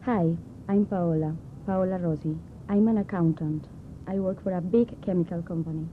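A woman playing a calm, measured accountant. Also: lowish voice, lightly acted. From Buenos Aires, Argentina. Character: calm female accountant, adult. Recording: tinny tape with hiss.

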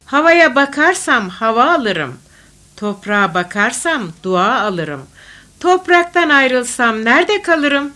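A woman reciting folk poetry expressively, with measured, declamatory phrasing. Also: sits high, very animated, pushed and bright. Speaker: poetry reader.

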